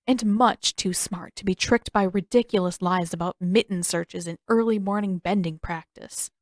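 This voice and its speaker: A woman reading scripted fiction aloud as an expressive narrator. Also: medium pitch, dry recording, studio quality. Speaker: podfic narrator.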